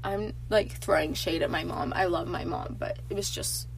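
A woman's voice: lowish voice, steady tone, moderate pace, gravelly, a little hiss or hum, rough recording. A teenage girl hosting a casual solo podcast, nasal and congested from allergies, chatty, with lots of filler words. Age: teens. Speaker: podcast host.

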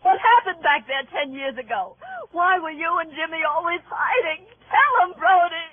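An actress playing a tense young-sounding widow in a tavern scene of an old radio crime drama. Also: female voice, extremely high pitch, animated, moderate pace, no room sound. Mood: pleading. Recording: dry, muffled vintage radio recording.

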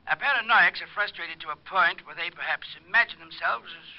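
An actor playing a scholarly, deliberate asylum psychiatrist, hesitant and precise, in old radio drama style. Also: male voice, sits high. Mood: clinical. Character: pedantic, deliberate male asylum psychiatrist explaining a madman. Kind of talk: 1940s-50s radio drama acting. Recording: dull vintage radio recording.

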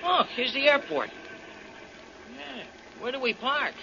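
An actor playing a slangy, working-class sidekick with colloquial, ungrammatical talk in a 1940s-style radio drama. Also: male voice, very high voice, lively intonation, measured pace, creaky, bright, forceful tone, a little hiss or hum, heavily acted. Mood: worried. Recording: muffled old-time radio recording.

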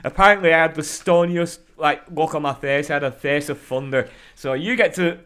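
A man co-hosting a casual wrestling podcast, talking in an animated, rambling way and swearing freely. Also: on the high side, a little fast, clean tone. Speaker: podcast co-host. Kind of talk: casual chat.

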